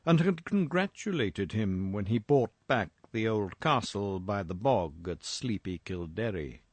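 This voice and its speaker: A man reading a first-person horror story in a measured, formal, dramatic storytelling manner. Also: fairly low pitch, no echo, studio quality.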